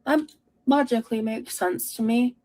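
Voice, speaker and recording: A woman with a fairly high voice and a very soft, mellow tone speaks in a personal confessional monologue. She is self-reflective and halting as she works through her thoughts. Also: fairly even intonation.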